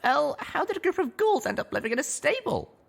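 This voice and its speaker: A man's voice: extremely high pitch, sing-song, brisk, creaky, fairly bright tone, pitched above the performer's own voice, full character voice. A man voicing a curious, earnest young female pony adventurer from a storybook.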